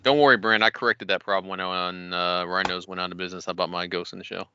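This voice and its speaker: A man's voice: low voice, fairly even intonation, quick pace, pushed and bright, lo-fi sound, a little hiss or hum. A man co-hosting a gaming podcast with playful, sweary, casual banter. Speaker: podcast co-host. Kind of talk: casual joking gaming chat.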